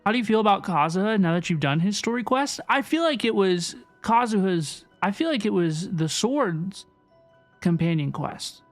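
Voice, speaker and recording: A woman's voice: mid-range voice, expressive, normal speed. A woman giving casual, chatty playthrough commentary as a livestreamer, often repeating phrases for emphasis. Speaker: video game livestreamer. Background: soft game music.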